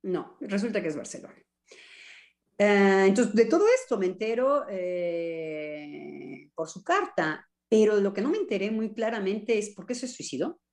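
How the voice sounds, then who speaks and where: A Mexican woman talking in a casual discussion among colleagues, animated and ironic, with many hesitations. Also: medium pitch, measured pace. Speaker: discussion participant.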